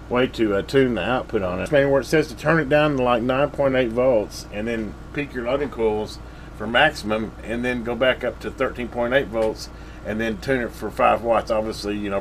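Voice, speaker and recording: A man's voice: mid-range voice, a little hiss or hum. Rambling man at his workbench. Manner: rambling, lots of fillers and restarts. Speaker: radio hobbyist reviewing a CB radio. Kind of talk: casual workbench review.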